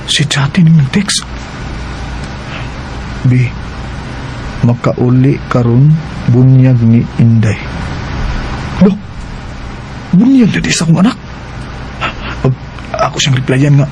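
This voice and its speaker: An actor playing a smooth-talking, evasive young two-timer in a radio drama, stalling in a Cebuano accent. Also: male voice, medium pitch, close to an everyday voice, low-quality recording.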